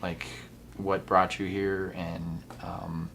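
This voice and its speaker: A man's voice: low-pitched, little pitch movement, takes it slowly, vocal fry, fairly bright tone, a little hiss or hum. A man conducting a casual interview, asking curious short questions with frequent ums.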